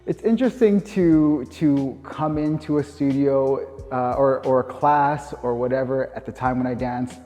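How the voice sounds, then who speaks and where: A Canadian man in his thirties speaks in a mid-pitched, mellow voice. He talks informally and reflectively, often stopping to rephrase, like someone being interviewed. The recording carries long reverb, with music playing underneath. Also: unhurried, few pauses. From Winnipeg, Canada. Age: 30s. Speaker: dance teacher.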